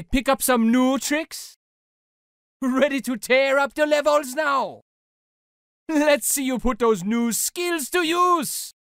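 A laid-back, cheerful Rasta shopkeeper from a skateboarding video game. His Jamaican-accented voice sits very high and rings smooth and clear. He greets customers in a friendly, joking, unhurried singsong, recorded close and dry. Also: a bit bright, dry recording, full character voice. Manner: friendly, jokey, drawn-out delivery. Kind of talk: video game character voice lines.

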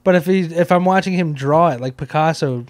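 A man in his thirties talks casually and jokily as a podcast host. His voice sits a little high for a man, and it is recorded close on a handheld mic with almost no room sound. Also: brisk, no echo. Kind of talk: casual comic chat. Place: small indoor podcast room, on a couch. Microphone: handheld microphone held close.

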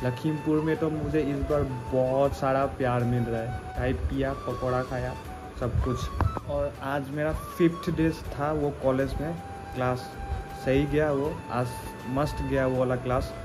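A young man talking to his phone camera in a casual vlog at someone's home, chatty and a little hoarse from a cold. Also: medium pitch, steady tone, measured pace. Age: young adult. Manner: chatty, many fillers. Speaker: travel vlogger. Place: indoors at a home. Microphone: phone camera held at arm's length. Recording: music playing over the talk.

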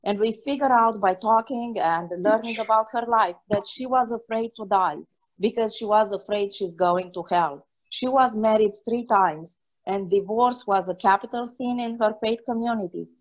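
A woman's voice: mid-range voice, low-quality recording. A woman in her forties, originally from Romania, who works as a chaplain, tells an earnest personal story during a video-call discussion. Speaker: hospital chaplain. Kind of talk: personal testimony in a group discussion.

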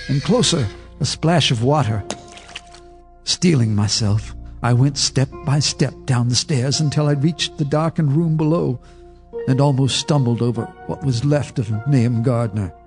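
A man's voice: medium pitch, darkish tone. Grave male radio drama narrator. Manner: measured, grave storytelling. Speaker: narrator, first-person character. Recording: radio drama with faint music underneath.

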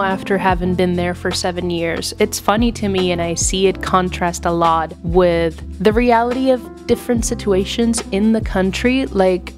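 A young woman vlogger speaks at an even, middling pitch, chatting loosely to camera and leaning on filler words. She is close on a lapel mic in a small room, with music playing under her voice. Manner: chatty, conversational, many "like"s. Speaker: vlogger and street interviewer. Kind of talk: casual narration to camera.

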